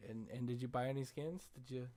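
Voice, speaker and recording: A man's voice: mid-range voice, fast talker, mellow. A man co-hosting a casual podcast, bantering informally with jokes and swearing. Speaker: podcast co-host. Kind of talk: casual banter about video games.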